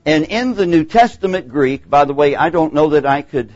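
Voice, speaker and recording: A middle-aged Texan man teaches a Bible class, his voice swooping up and down as he acts out the people he quotes. His pitch sits a bit high for a man. The sound is dry and slightly muffled. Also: expressive, normal speed, a little mellow, no room sound. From Texas.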